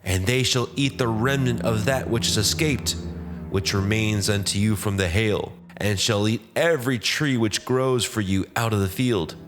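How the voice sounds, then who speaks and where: A man's voice: on the low side, normal speed, over music, lightly acted. A man voicing a bold Hebrew prophet in a dramatized scripture reading, declamatory and insistent. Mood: stern warning.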